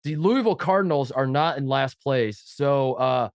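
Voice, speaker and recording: A man's voice: mid-range voice, moderate pace, gravelly, smooth, no room sound. Stuffy-nosed man rambling on a podcast. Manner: rambling, joking, self-mocking. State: head cold, nasal. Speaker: podcast co-host. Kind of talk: casual sports chat.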